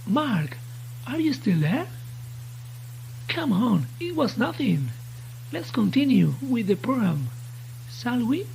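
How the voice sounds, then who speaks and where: A woman voicing a sinister radio entity in a horror story, taunting its victim with mock-friendly, unhurried questions. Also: a little high, sing-song, gravelly, dark tone, a little hiss or hum, pitched above the performer's own voice, heavily acted, poor audio. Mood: mock cheerful. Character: sinister taunting radio voice that knows secret fears.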